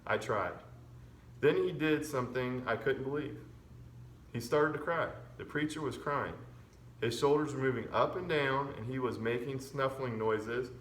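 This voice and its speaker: A man's voice: mid-range voice, normal speed. A man, a fourth grade teacher, reading a children's chapter book aloud expressively. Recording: roomy echo with background noise.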